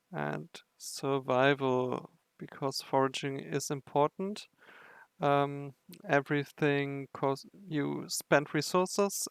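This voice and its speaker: A man with a creaky voice doing game commentary and reading story narration aloud. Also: mid-range voice, no room sound. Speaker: gamer narrating a playthrough. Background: faint music.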